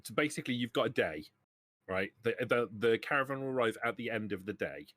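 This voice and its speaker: A man's voice: medium pitch, expressive, fairly quick, fairly bright tone. A man acting as game master, explaining rules and options to players in a tabletop roleplaying game.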